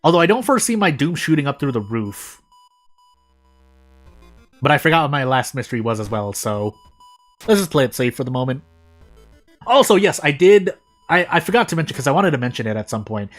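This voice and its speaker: An American man streaming a game, thinking aloud in casual commentary with frequent restarts and sudden jumps in pitch. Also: very animated, brisk, long pauses. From United States. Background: faint game music.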